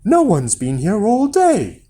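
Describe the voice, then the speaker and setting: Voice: medium pitch, huge pitch swings, slow, mellow, husky, pitched above the performer's own voice, exaggerated voice. A storyteller voicing a giant's wife, placating and wary, in a children's fairy tale.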